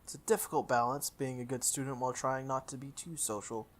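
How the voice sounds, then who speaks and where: A woman reading a visual novel aloud in first person, with expressive delivery, occasional stumbles and her own asides. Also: extremely low pitch, a little fast. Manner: expressive, self-correcting reading.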